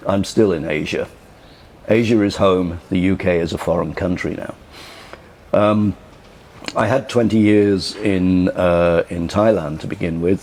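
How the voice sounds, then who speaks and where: Older Liverpudlian man, very deep voice, loose conversational storytelling, faint room noise. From England. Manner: relaxed, rambling, frequent "you know" and restarts. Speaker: interviewee. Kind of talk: casual recollection.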